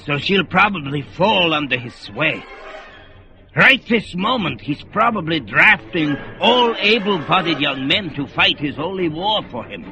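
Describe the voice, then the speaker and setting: A man's voice: on the high side, expressive, unhurried, pushed and bright, heavily acted. A man playing an aged, proud sorcerer in an old radio drama, rambling and quavering, with music playing behind. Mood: worried. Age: older. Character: aged male sorcerer, fretful, proud, feuding with his son.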